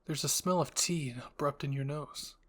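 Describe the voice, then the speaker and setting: A man's voice: a little high, normal speed, a bit bright. A man narrating a scripted second-person story as the storyteller of a listener roleplay.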